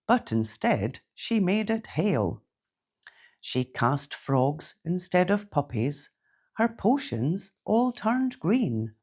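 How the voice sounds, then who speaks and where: A woman reading a children's bedtime story aloud in a gentle, theatrical, deliberate way. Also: fairly low pitch, husky. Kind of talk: expressive read-aloud bedtime storytelling in rhyme.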